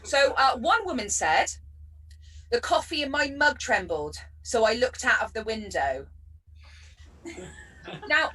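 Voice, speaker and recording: Woman, West Country accent, stand-up comic, sarcastic, lively pitch, distant video-call mic. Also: slow pace, long pauses. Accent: West Country English. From Bristol, England. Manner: chatty, sarcastic, bursts of laughter. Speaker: stand-up comedian.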